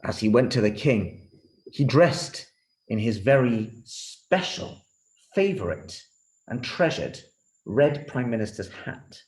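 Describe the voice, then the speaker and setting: A man telling a story in an animated, theatrical way for young listeners. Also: mid-range voice, slow. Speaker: storyteller, rabbi. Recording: roomy, echoey room sound.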